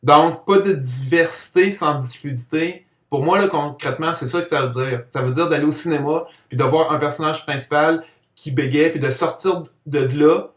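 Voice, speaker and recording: A man's voice: fairly high pitch, normal speed. Male, Quebec accent, mild on-and-off stutter, personal testimonial, distant roomy recording. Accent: Quebec French. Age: adult. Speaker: person who stutters giving a testimonial, comic book author. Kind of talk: personal spoken testimonial to camera. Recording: roomy echo, distant microphone.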